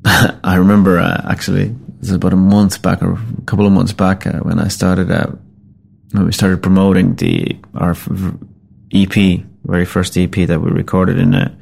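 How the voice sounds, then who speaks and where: A middle-aged Finnish-born man with a Finnish accent, a rock musician talking casually in English, his second language, in a halting, rambling, self-deprecating way. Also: low-pitched, level tone, vocal fry, a little mellow, husky. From Finland. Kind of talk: casual spoken account.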